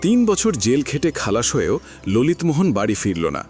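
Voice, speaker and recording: Male narrator, expressive story reader, lively intonation. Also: mid-range voice, moderate pace, faint music behind. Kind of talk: scripted literary narration.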